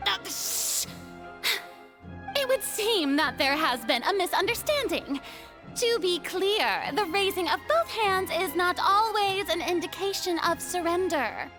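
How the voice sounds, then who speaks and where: A woman's voice: extremely high pitch, animated, a little slow, big-room sound, over music. A woman playing a haughty, theatrical female god of justice in a video game, with grandiose, formal, dramatic delivery. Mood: flustered pride. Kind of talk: scripted video game acting.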